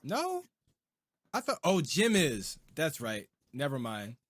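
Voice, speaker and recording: A young man co-hosting a podcast in casual chat, rambling and quick to laugh. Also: on the high side, wildly swooping pitch, no room sound. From United States. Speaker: podcast co-host.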